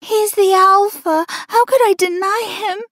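A woman's voice: fairly even intonation, slow pace, no room sound, studio quality. A whimpering, tearful young woman, voiced by a female narrator. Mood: tearful pleading. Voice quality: high, whimpering. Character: tearful pleading young woman, meek and apologetic.

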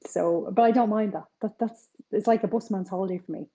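A woman in her forties with a North Side Dublin accent, a crime author chatting over a video call from her kitchen. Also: mid-range voice. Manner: chatty, animated, quick asides. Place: home kitchen with shelves.

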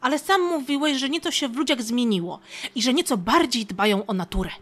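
Woman playing a high-voiced eager little squirrel. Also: expressive, fairly quick. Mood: agreeing, playful. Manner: chatty, optimistic, eager. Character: cheeky, curious, eager young female squirrel. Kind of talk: children's audio play.

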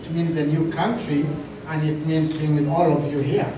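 A man's voice: a little high, flat delivery, moderate pace, recorded from a distance, heavy background noise. Man from Somalia speaking in a hall. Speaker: community speaker. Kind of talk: prepared speech. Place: large meeting hall, indoors. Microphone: handheld mic, far camera. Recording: echoey hall, recorded from afar.

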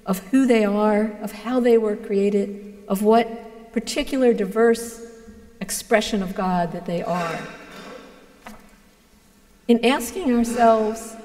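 A woman's voice: fairly high pitch, dark tone. Earnest woman priest preaching in reverberant church. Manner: measured, earnest, with deliberate pauses. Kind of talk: sermon. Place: large stone church, indoors. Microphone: gooseneck pulpit mic.

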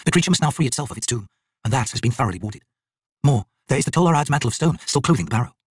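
A stern young shaman of a fantasy tribe, voiced by a male narrator. He sounds cold and urgent, with a husky edge and lively, forceful pitch swings. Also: very fast, no room sound, clean studio sound. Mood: grim.

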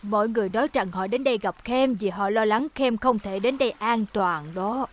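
A woman's voice: medium pitch, brisk, a little hiss or hum, close to an everyday voice. A woman voicing a motherly, concerned grown-up auntie in a children's cartoon dub. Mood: worried.

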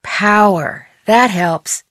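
A woman's voice: mid-range voice, very slow, fairly bright tone, dry recording. A woman voicing a plucky, curious teenage girl detective in a video game, wryly thinking aloud to herself. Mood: dry, musing. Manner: wry, curious, self-talking. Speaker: voice actor of a video game heroine.

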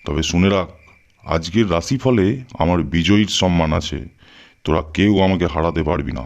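A man's voice: sits low, moderate pace, vocal fry. A man playing a cocky, hot-tempered young man in an audio story, mocking and defiant in manner. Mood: smug. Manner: taunting, defiant. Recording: slightly dull sound with music.

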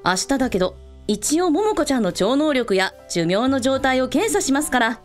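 Woman playing a breezy high-voiced hotel owner. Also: sing-song, normal speed, faint music behind. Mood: breezy, reassuring. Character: kindly, breezy, matter-of-fact female hotel owner. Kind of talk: radio drama acting.

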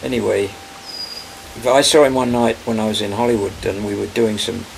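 Older man, gravel in the voice, lowish, rambling film veteran interview on a rural porch, heavy outdoor noise. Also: steady tone. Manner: rambling, halting, searching for names. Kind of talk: casual reminiscing interview. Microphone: camera mic, medium distance. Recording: loud outdoor background noise.

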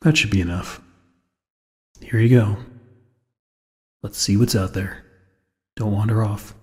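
A man's voice: on the low side, fairly even intonation, measured pace, dark tone, lightly acted. An actor playing a weary, wary American man exploring a haunted cartoon studio in a video game, muttering his scripted lines in an understated way. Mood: calm, musing. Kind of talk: scripted game character dialogue. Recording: echoey game audio with long reverb.

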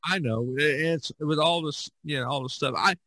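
A man's voice: fairly high pitch, a little fast, sharp tone, no room sound. A middle-aged or older American man from Indiana hosting a casual podcast chat, often chuckling as he talks. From Indiana, United States. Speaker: podcast host.

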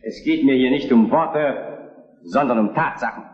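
An actor playing an indignant liberal opposition deputy in a German historical radio play, declaiming solemn accusations with heavy emphasis. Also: male voice, mid-range voice, measured pace, lo-fi sound, lightly acted. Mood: righteous, accusing. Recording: echoey parliament chamber.

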